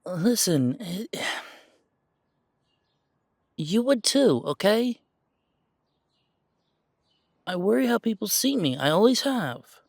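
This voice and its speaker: A man acts out a pure-blood werewolf full of self-doubt in an intimate audio drama. His voice sits a little high for a man and is recorded very dry. He talks haltingly, tripping over words, defensive and unsure of himself. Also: sing-song, creaky, dry recording, full character voice. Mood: agitated, defensive. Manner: hesitant, halting, stammering. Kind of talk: audio roleplay drama, one side of an intimate conversation.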